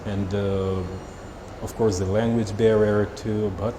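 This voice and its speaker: A man's voice: on the low side, little pitch movement, a crowd in the background. Russian-accented man in an echoey room. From Moscow, Russia. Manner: halting, simple non-native phrasing. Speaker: doctor being interviewed. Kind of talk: conversational interview answers. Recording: roomy echo with music underneath.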